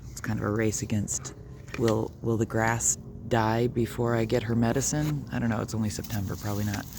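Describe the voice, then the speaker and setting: A man with a low, gravelly voice muses aloud as he walks his dogs around the block. He talks in a soft, rambling way and now and then turns to speak to the dogs. The phone recording carries a lot of outdoor noise. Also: steady tone, brisk. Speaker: video blogger. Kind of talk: rambling walk-and-talk vlog. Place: outdoors on a neighborhood walk.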